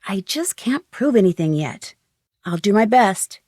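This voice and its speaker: An actress playing an inquisitive young female detective in a video game, speaking in a measured, earnest way. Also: mid-range voice, very animated, a little mellow, no echo, clean studio sound. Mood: earnest, suspicious. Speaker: game voice actor. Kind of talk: scripted video game dialogue.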